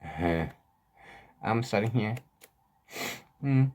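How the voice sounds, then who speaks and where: A man's voice: fairly low pitch, animated, slow pace, a little mellow. A man talking casually as a book vlogger, rambling and often interrupting himself with repeated words. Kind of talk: casual book chat to camera.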